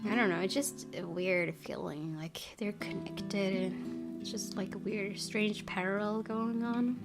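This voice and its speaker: A woman's voice: mid-range voice, a little slow. A young adult woman giving casual, tentative let's play commentary, thinking aloud as she goes. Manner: musing, tentative. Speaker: gaming video host. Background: game music.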